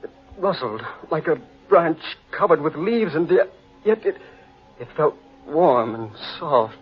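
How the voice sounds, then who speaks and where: An excitable gentleman in a vintage radio horror play. His voice sits fairly high and swings widely, with theatrical exclamations. The recording is muffled, with faint music underneath. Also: measured pace, slightly rough. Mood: rising panic. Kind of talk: old-time radio horror acting.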